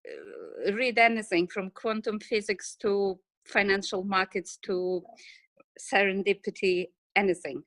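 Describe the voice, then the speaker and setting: A woman's voice: mid-range voice, fairly bright tone, dry recording. Eastern European woman, dry close sound. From Ukraine and Russia. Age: middle-aged. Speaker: interview guest, financial services business owner. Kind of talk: casual interview answers.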